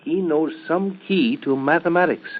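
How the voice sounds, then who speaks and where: A man's voice: on the high side, normal speed, close to an everyday voice. A man acting in an old-time radio drama as an amazed, eager father, hesitant and repeating himself in wonder. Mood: awed, determined. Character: amazed, eager father of a child prodigy, 1810 New England. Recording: thin, narrow vintage radio recording.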